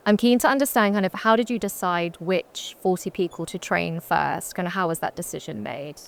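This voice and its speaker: A woman interviewer with a slightly low, gravelly voice, sounding upbeat and encouraging as she puts questions to a guest on stage. Her tone is friendly and keeps the conversation moving. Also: brisk. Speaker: interviewer, moderator.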